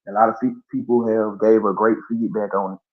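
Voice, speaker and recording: A man, speaking low and easy as he tells stories in a casual podcast interview. His talk is loose, full of slang and fillers. The audio is dull and lo-fi. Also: level tone, fast. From Columbus, Georgia. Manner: laid-back storytelling with slang and fillers. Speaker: guest artist.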